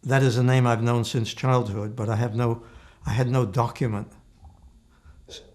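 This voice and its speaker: A man in his eighties, born in Slovakia with Slovak as his first language, giving oral history testimony in a measured, thoughtful way with frequent restarts. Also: mid-range voice, little pitch movement, a little mellow. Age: 80s. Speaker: interviewee.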